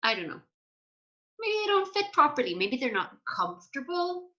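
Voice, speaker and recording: A woman teacher reading a children's story aloud in an animated way, with chatty asides and questions for young listeners. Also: high voice, moderate pace, muffled recording.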